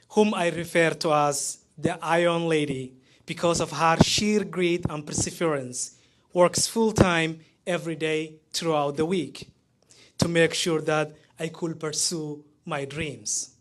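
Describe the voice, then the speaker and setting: A man in his thirties from Somalia, speaking with a Somali accent as he gives a prepared, heartfelt graduation speech, pausing between phrases. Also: a little high, a bit bright. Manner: measured, heartfelt delivery with pauses between phrases.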